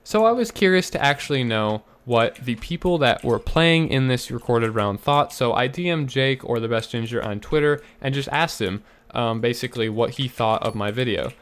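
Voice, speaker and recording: A young man with a slightly raspy voice giving casual gameplay commentary, explaining himself earnestly with fillers and restarts. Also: mid-range voice, lively intonation, moderate pace, few pauses. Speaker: gaming YouTuber.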